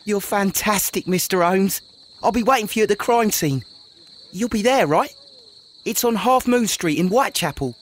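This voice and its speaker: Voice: medium pitch, normal speed, a little hiss or hum, close to an everyday voice. A performer playing an anxious, pleading Victorian London street urchin boy with an English accent in a video game. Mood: relieved, eager. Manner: urgent, informal.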